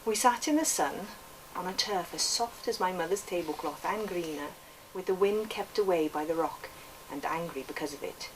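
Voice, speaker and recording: A woman's voice: on the low side, moderate pace, natural voice. Earnest welsh kid telling his story woman doing it, lilting and lyrical, bit far from the mic, faint noise. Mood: earnest, wistful. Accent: put-on Welsh. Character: earnest Welsh boy narrating a storybook memoir. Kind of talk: expressive literary reading. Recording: distant microphone, background noise.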